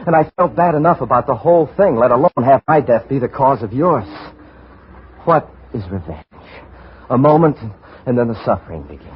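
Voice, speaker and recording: An actor playing a desperate, fast-talking schemer on the run in an old-time radio thriller, with a smooth, wheedling way of pleading. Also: male voice, medium pitch, close to an everyday voice. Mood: brooding. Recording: muffled, noisy old radio drama recording.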